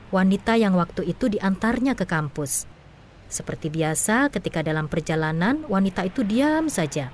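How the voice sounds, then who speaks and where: A woman narrating a scripted audio drama in an even, measured storytelling manner. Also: mid-range voice, slight background noise.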